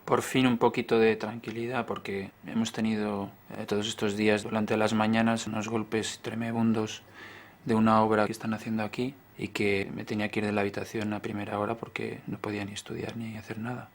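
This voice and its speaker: A male conductor recording a chatty, relaxed video diary. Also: on the low side, quick pace.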